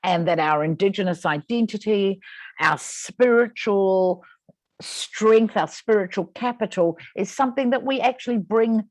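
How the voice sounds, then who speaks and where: A woman moderating a webinar panel, summarizing the speakers' points in a measured, articulate way. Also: medium pitch, darkish tone, dry recording.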